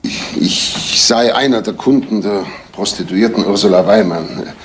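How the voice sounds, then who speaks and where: An actor playing an earnest married man making a confession in a measured, formal way, with a husky voice, in a crime radio play. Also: lowish voice, slight background noise, natural voice. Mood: earnest, restrained.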